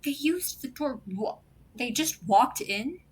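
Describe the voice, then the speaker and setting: An actress playing a blunt, sarcastic second-in-command in an audio drama, speaking emphatically. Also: female voice, high-pitched, lively intonation, slow pace, slightly rough, full character voice. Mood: alarmed.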